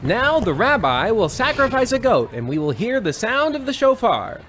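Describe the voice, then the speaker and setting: A man playing an excitable, folksy old-time radio baseball announcer with theatrical, exclamatory patter. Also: extremely high pitch, moderate pace, slight background noise, full character voice. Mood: folksy showmanship. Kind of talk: 1940s radio sports commentary parody.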